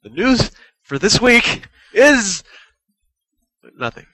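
A man's voice: high-pitched, big pitch swings, slow pace, sharp tone, low-quality recording. A young man co-hosting a podcast, chatting casually in a rambling, conversational way. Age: young adult. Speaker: podcast co-host. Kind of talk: casual chat.

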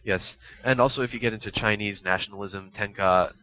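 Male co-host, low and crisp-edged voice, precise explainer in casual discussion. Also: brisk, bright, forceful tone, poor audio. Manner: pedantic, precise. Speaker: podcast co-host.

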